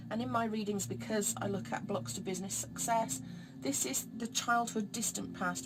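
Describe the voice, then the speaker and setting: Woman, slightly croaky voice, chatty tarot reading, music underneath. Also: mid-range voice, poor audio. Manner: chatty, encouraging, addresses viewers directly. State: a bit throaty and croaky. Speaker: tarot reader. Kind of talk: monthly tarot reading to camera.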